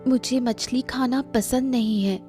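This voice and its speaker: A woman plays a haughty cartoon lady who looks down on poorer folk. Her voice sits a little high and cuts with scorn, over faint music. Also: steady tone, a little slow, lightly acted. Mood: snobbish. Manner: snobbish, cutting. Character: proud, sharp-tongued woman of a rich house. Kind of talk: cartoon story dialogue. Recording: soft background music.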